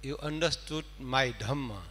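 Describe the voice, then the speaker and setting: A man from Nepal, a non-native English speaker and Buddhist monk, giving a Dhamma talk in halting short phrases of simple English. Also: medium pitch, fairly bright tone. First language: not English. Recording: reverberant hall, electrical hum.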